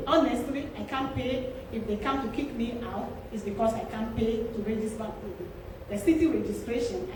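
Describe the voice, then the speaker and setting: 加纳口音女人，大厅回声很重. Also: female voice, high voice, a little mellow. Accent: Ghanaian. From Ghana. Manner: earnest, pleading, repeats words for emphasis. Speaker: small business owner speaking at a forum. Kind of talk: public comment at a town forum. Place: large echoey church hall. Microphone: handheld mic held too far from the mouth. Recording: echoey hall, distant mic, room noise.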